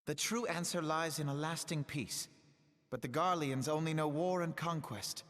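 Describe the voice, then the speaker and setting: Actor as high-pitched earnest young nobleman. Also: moderate pace, a bit bright, natural voice. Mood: earnest, grave. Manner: formal, eloquent, impassioned. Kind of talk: dramatic fantasy game cutscene acting. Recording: long echoing hall reverb.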